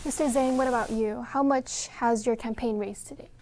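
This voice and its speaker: High-voiced woman moderating a forum. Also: fairly even intonation, a little mellow, a little hiss or hum. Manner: measured, formal. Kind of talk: reading prepared questions.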